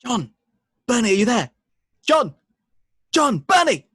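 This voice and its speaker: A woman's voice: fairly high pitch, sing-song, takes it slowly, fairly bright tone, breathy edge, heavily acted. A woman reading the part of a flustered young man leading a survival group in an amateur comedy script read. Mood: urgent, exasperated.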